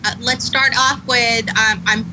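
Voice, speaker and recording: A woman in her thirties with an American accent, a guest attorney answering interview questions candidly and reflectively, with frequent fillers and pauses. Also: fairly high pitch, fairly even intonation. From Pakistan, raised in Atlanta. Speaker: guest, attorney, nonprofit founder. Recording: faint background noise.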